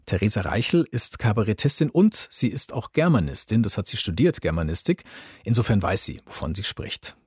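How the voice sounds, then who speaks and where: A man with a husky, raspy voice presenting a radio magazine as its host. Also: lowish voice, dry recording, studio quality.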